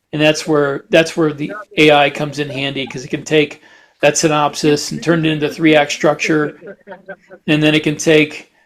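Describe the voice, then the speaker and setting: Higher-pitched man in halting podcast chat. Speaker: podcast co-host and fiction writer.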